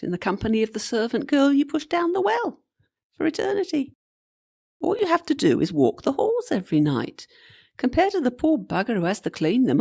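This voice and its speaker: A woman's voice: fairly high pitch, creaky, darkish tone, husky, exaggerated voice. A woman playing the ghost of a flippant young servant in a comic radio play, sarcastic, teasing and breezy.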